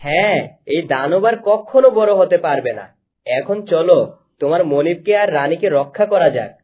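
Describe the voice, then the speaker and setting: Lively, confident young fairy-tale hero played by a man. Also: on the high side, moderate pace, husky. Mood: confident, commanding. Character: brave, kindly young hero with a magic wand. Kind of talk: cartoon story dubbing.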